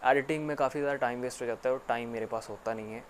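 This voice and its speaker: Male tutor, mid-pitched, rambling casual lecture, faint hiss behind. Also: fairly even intonation, very fast. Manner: repetitive, filler-heavy explaining with frequent "actually". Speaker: English teacher.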